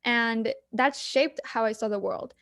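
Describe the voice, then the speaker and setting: A woman's voice: on the high side, fairly bright tone, no echo. Earnest young woman, dry sound. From Mexico. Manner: earnest, measured, frequent ums. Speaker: guest climate activist. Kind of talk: panel discussion answers.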